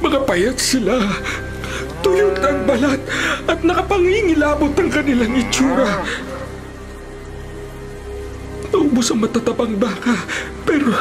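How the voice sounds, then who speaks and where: Theatrical, troubled Pharaoh over music. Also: male voice, extremely high pitch. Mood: horrified, agitated. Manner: theatrical, imperious. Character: troubled, commanding male Pharaoh of ancient Egypt. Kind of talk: dramatised Bible radio drama. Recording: radio drama over clear background music.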